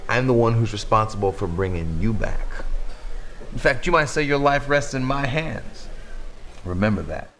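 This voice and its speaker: A man's voice: medium pitch, expressive, moderate pace, a bit bright, a little hiss or hum, close to an everyday voice. Man as a cocky, wisecracking young hacker. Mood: cocky, warning. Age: young adult. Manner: slangy, cocky, wry. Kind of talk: video game cutscene acting.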